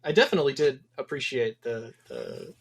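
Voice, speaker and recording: A man's voice: mid-range voice, huge pitch swings, fairly quick, gravelly, breathy edge. A man from Wisconsin, a game developer guest in a casual podcast interview, talking thoughtfully with many ums. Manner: thoughtful, rambling with frequent ums.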